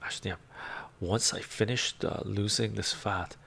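A man's voice: on the low side, fairly even intonation, normal speed, vocal fry, sharp tone, whispered. A middle-aged man whose first language is Romanian, chatting casually on a live stream from a room lined with bookshelves, with a rambling manner and frequent "right?" asides, speaking into a lapel mic. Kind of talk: casual live-stream chat, reading comments. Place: home room full of bookshelves.

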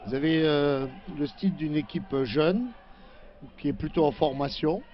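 Ex-player doing match analysis, hesitant, lots of ums, bit muffled. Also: male voice, fairly high pitch. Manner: measured, hesitant, many fillers. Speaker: co-commentator, former player.

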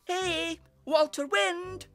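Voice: mid-range voice, very animated, measured pace, pushed and bright, faint music behind, pitched above the performer's own voice. A narrator voicing a small, eager, polite young boy breeze in a children's story, with an earnest, cheery manner. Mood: breathless, eager.

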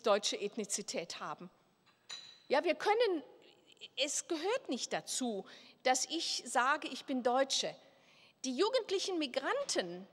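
A woman's voice: a little high, fairly bright tone. An older woman, a professor, giving a conference talk at a lectern, gesturing and posing questions aloud to herself. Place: large hall at a political conference. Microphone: lectern microphone. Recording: reverberant hall.

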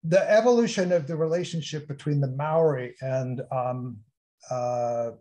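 A man moderating a panel session, speaking haltingly with frequent restarts. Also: mid-range voice, mellow. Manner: halting, restarts sentences often. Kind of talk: hosting an online panel session.

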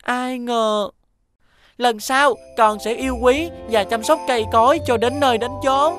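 A woman's voice: on the high side, a little slow, faint music behind, natural voice. A woman voicing a playful, careless young boy who chatters eagerly in a dubbed cartoon. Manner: eager, excitable child's chatter. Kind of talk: cartoon dubbing.

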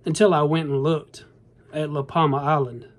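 Man in his thirties, slightly high voice, rambling folksy phone-camera vlogger. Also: level tone, moderate pace. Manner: rambling, earnest, folksy, addresses viewers as y'all. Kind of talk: casual talk to camera. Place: indoor bedroom. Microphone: phone camera at arm's length.

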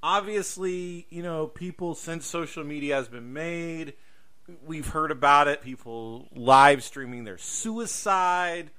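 A man's voice: on the high side, slow pace, sharp tone, clean tone. A man hosting a casual podcast conversation, speaking emphatically and repeating himself to drive points home. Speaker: podcast host.

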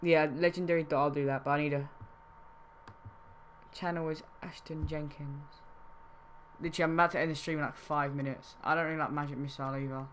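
A man's voice: on the high side, level tone, a little fast, long pauses, fairly bright tone, a little hiss or hum. Chatty young male game streamer. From Wales. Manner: casual, chatty, reads chat aloud. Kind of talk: live game-trading stream chat.

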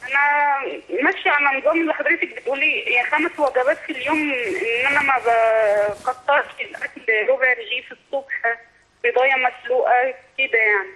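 A woman's voice: high-pitched, fairly even intonation, fast, bright, forceful tone. A woman, calling in hesitantly about her diet. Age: 20s. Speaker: caller. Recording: faint phone line hiss.

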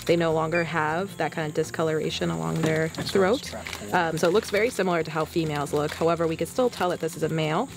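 Lowish-voiced young woman demonstrating outdoors. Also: steady tone, quick pace, creaky, noisy background. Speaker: field researcher. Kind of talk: informal on-site explanation. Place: outdoors in woodland.

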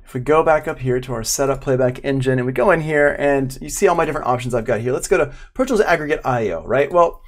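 A middle-aged man giving a live online software tutorial, explaining conversationally and step by step as an instructor. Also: mid-range voice, fast talker, hardly any pauses. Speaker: audio production instructor.

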